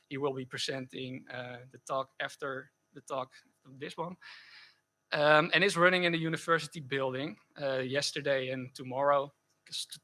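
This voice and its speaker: A man giving a technical conference talk and answering questions, speaking haltingly with frequent self-corrections. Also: fairly high pitch, sharp tone. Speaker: conference speaker presenting a project.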